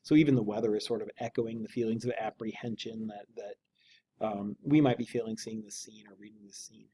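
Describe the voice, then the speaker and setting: A man's voice: lowish voice, fairly even intonation, a little fast, dark tone, breathy edge. A man speaking as an English teacher, reading a novel aloud and explaining it informally.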